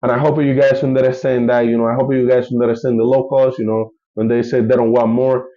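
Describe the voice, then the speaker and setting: A man's voice: mid-range voice, flat delivery, fairly quick, dull audio. Man with a Colombian Spanish accent, rambling. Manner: rambling, many fillers and restarts. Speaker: travel vlogger. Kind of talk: casual vlog update.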